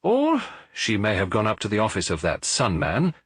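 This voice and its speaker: A man voicing a mild, shrewd little priest detective with a gentle, frankly doubtful manner in an audiobook. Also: lowish voice, wildly swooping pitch, normal speed, breathy edge, dry recording, studio quality, heavily acted. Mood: thoughtful, sudden.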